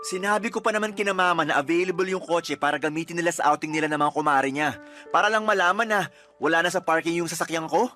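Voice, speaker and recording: A man's voice: a little high, fairly even intonation, fast, bright, forceful tone, faint music behind. A man acting as a fed-up, resentful boyfriend in a Tagalog radio romance drama, emphatic and accusing. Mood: angry, indignant. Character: fed-up, resentful boyfriend breaking up with his girlfriend.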